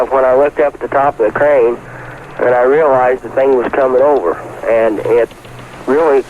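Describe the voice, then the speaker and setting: A man's voice: mid-range voice, darkish tone, poor audio. A man casually recounting what he witnessed, hesitant and folksy. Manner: plain-spoken, hesitant, folksy grammar. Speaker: eyewitness. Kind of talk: casual spoken recollection. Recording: radio news interview, faint noise.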